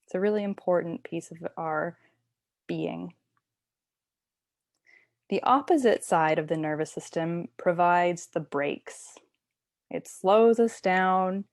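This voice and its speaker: A woman leads a guided relaxation as a yoga nidra teacher. Her voice sits a little low and moves at a slow, unhurried pace. She instructs gently and soothingly, in a dry recording with hardly any room sound. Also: dry recording.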